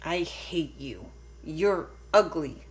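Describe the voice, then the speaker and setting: Storybook role: bossy, jealous young mouse girl, played by a woman, indignant, deliberate and emphatic delivery. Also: low voice, animated, rough recording. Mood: spiteful.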